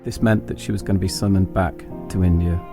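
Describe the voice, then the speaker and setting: Low-voiced English man narrating over music. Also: fairly even intonation. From England. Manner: reflective, deliberate phrasing in short lines. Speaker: podcast host and narrator. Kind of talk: autobiographical storytelling, scripted narration. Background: instrumental music.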